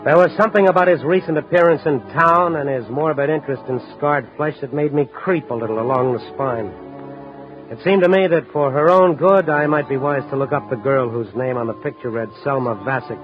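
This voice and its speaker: An American actor playing a shrewd, wry newspaper reporter in a 1950s radio drama, speaking in a dry, measured, hard-boiled way. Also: male voice, a little high, close to an everyday voice. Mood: brooding, wry. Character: shrewd, wry night-beat newspaper reporter, adult man. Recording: dull vintage radio recording with music.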